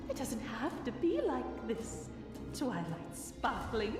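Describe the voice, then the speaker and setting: A rotten pony villainess for an audio drama. She is high-pitched and cooing, falsely tender and quietly menacing. Her words are drawn out slowly, ringing in a long reverberant hall with music behind. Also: big pitch swings. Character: rot-corrupted pony villainess, sweetly menacing impostor of a friend. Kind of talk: scripted audio drama acting.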